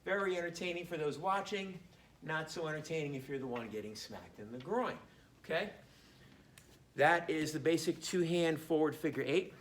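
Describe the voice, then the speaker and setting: A man's voice: fairly high pitch, moderate pace. Joking man teaching in an echoey room. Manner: relaxed, joking, talks while demonstrating. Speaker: martial arts instructor. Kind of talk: casual instructional demo. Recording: echoey room, faint background noise.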